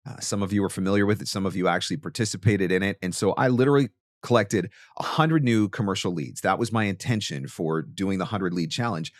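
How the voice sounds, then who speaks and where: A man hosting an instructional monologue, talking with emphatic, deliberate stress on key words. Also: low voice, dry recording, studio quality. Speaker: host, voice actor teaching marketing.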